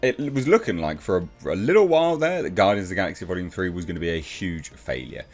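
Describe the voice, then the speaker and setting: British male commentator, low-pitched, blunt and sarcastic, casual opinion delivery over music. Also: very animated, quick pace. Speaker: YouTube commentator.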